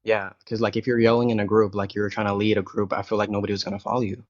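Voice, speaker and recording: A young man speaking English as a second language, with Spanish as his first, talks bluntly and rambles through restarts in a live-stream debate. His voice sits fairly low. The call audio is dry and dull, with a lo-fi muffled band. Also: flat delivery, very fast, no echo. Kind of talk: casual argumentative chat.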